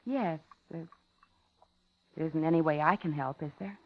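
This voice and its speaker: An actress playing a polite, lonely older widow in a 1950s radio thriller, talking and narrating in a genteel, dramatic way. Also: female voice, deep voice, moderate pace. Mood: wistful, concerned. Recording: dull 1950s radio recording, music behind.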